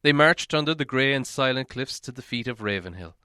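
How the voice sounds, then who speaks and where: A man narrates a storybook in a measured, dramatic way. His voice sits at a medium pitch and is smooth and resonant. The recording is dry and close. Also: expressive, sharp tone, dry recording. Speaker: audiobook reader.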